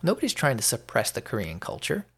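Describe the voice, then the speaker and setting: An American man giving an unscripted opinion monologue as a podcast host, speaking emphatically and haltingly, often repeating phrases for effect. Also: medium pitch, wildly swooping pitch, creaky, fairly bright tone, slightly rough.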